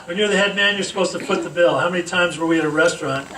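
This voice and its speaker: Man, slightly high voice, roast speech read aloud, deliberate teasing pauses, distant echoey recording, noisy background. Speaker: speaker reading a roast tribute aloud.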